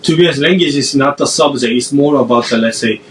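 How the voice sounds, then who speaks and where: A man from South Korea with a Korean accent, a podcast guest answering in a halting way and often restarting his phrases. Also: medium pitch, recorded from a distance, slight background noise, poor audio. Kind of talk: casual interview answers.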